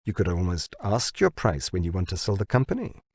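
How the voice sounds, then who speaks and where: A man voicing a shrewd biotech researcher in an audiobook, pitching insistently to his boss. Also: sing-song, a little mellow, breathy edge, no echo, exaggerated voice. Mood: persuasive. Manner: deliberate, insistent salesmanship. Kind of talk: audiobook character dialogue.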